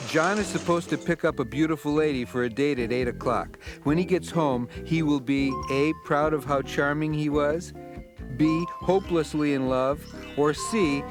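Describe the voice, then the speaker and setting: A man's voice: a little high, normal speed, lightly acted. Guy doing a lazy sarcastic cartoon cat hosting a show, dry and put-upon, music behind him. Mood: wry, deadpan. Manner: deadpan, wry, put-upon. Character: sardonic, lazy, food-loving cartoon cat hosting a quiz. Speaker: quiz show host. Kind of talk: cartoon voice acting.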